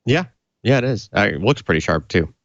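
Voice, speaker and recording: A man co-hosting a tech podcast, chatting casually and haltingly, repeating words and leaning on filler phrases. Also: lowish voice, big pitch swings, no room sound, muffled recording. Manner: halting, repeats words, peppered with "you know". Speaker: podcast co-host.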